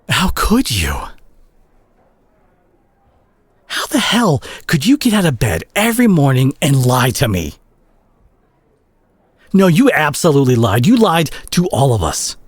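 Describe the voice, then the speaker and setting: A man acting a concerned superhero who confronts a reckless friend in a dramatic audio roleplay, speaking earnestly and haltingly with a husky, breathy voice. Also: a little high, wildly swooping pitch, dry recording, heavily acted. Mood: hurt, angry.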